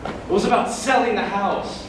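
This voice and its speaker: A young man playing a gentle, weary middle-aged brother in a school stage play. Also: sits high, fairly even intonation, moderate pace, lightly acted. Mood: concerned. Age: teens. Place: indoor theatre stage at a live performance. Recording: loud noise, echoey hall, distant mic.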